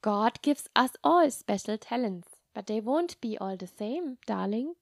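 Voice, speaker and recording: Woman as a patient, high-voiced kindly nun. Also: expressive, creaky, no room sound, clean studio sound, exaggerated voice. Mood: encouraging. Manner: soft, measured, reassuring. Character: kind, patient, gently humorous nun comforting a child.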